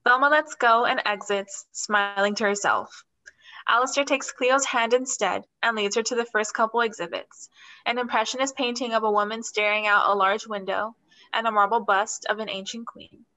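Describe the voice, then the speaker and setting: A woman's voice: a little high, fairly even intonation, normal speed, pushed and bright, rough recording. A young woman reading stage directions as scripted narration in a play reading.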